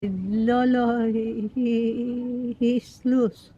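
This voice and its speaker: A woman in her nineties from the Philippines, speaking with a Filipino accent and Pampango as her first language, rambling gently and laughing easily as she recalls her life. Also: a little high, level tone, drawn-out words, slightly rough. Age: 90s. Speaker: interviewee. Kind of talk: reminiscing in casual chat. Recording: lo-fi with background noise.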